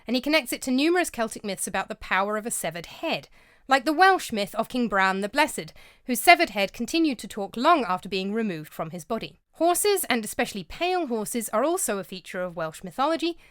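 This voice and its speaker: A woman with a fairly high, very lively voice narrates a folklore vlog in a scholarly, gently amused way. The voice-over is close and completely dry, with no room sound at all. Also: brisk, dry recording. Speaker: vlogger and narrator. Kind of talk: scripted documentary narration.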